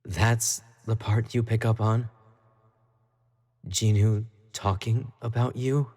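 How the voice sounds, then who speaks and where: A man's voice: on the low side, fairly even intonation, slow pace, a little mellow. An actor playing an obsessive, clingy young male pop idol in an audio roleplay, talking to the listener in a coy, playful, doting way. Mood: jealous, possessive. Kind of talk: scripted roleplay monologue to the listener.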